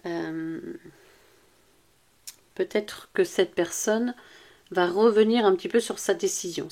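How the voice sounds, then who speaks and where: A woman giving an informal relationship guidance reading as an intuitive coach, speaking straight to the listener in a halting, repetitive, reassuring way that searches for words. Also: medium pitch, a little mellow.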